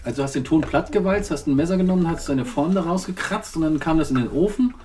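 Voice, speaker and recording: A middle-aged woman with a cold, co-hosting a casual chat with her child, teasing and quick to laugh. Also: low voice, dark tone, slight background noise. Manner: teasing, chatty, laughs readily. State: has a cold. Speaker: podcast co-host with her child.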